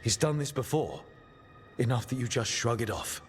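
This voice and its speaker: An actor playing a steady, reasonable and supportive team leader in a fantasy game. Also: male voice, medium pitch, moderate pace, soft background music, close to an everyday voice. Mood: concerned.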